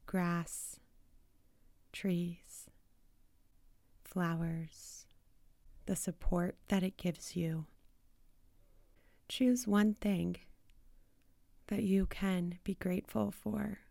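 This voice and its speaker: Very slow, mellow woman guiding meditation. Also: fairly low pitch, little pitch movement, gravelly, no room sound. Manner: soothing, spacious, with long pauses between short phrases. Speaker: meditation guide. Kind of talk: guided meditation.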